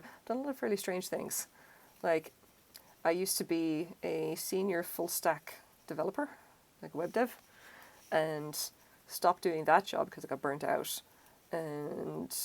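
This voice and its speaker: A middle-aged woman with an Irish accent, chatting casually and rambling while she crochets on a live stream. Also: lowish voice. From Ireland. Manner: chatty, self-deprecating, rambling asides.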